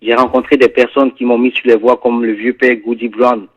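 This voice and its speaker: A singer from Ivory Coast with an Ivorian French accent, chatting in a radio studio interview and often answering "yes, sir". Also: male voice, mid-range voice, brisk, muffled recording. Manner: relaxed, peppers talk with "yes, sir". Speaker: guest singer.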